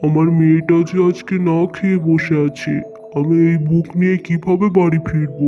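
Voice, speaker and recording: A man's voice: a little high, fairly even intonation, moderate pace, warm tone, faint music behind, close to an everyday voice. A man voicing a poor, kind-hearted farmer and father in a cartoon, earnest and plaintive. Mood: anguished, kind.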